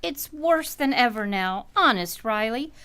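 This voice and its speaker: A woman's voice: fairly high pitch, expressive, unhurried, bright, forceful tone, smooth, heavily acted. An actress playing an exasperated, sensible wife and mother in a 1940s radio sitcom, scolding and reproachful.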